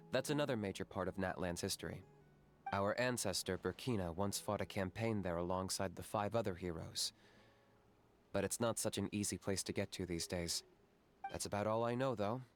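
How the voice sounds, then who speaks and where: A cautious young male warrior from an anime game, acted by a man. He has a lowish voice and a calm, dry, instructive delivery. Faint music plays behind him. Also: moderate pace, natural voice. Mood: calm, reassuring. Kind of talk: anime video game voice acting.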